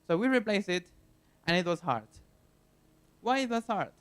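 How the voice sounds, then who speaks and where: Venezuelan guy explaining stuff at a conference, highish voice, smooth and clear, lots of uhs. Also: big pitch swings, moderate pace, fairly bright tone. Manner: earnest, plain explaining with frequent fillers. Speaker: conference speaker. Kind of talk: technical conference talk. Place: conference hall.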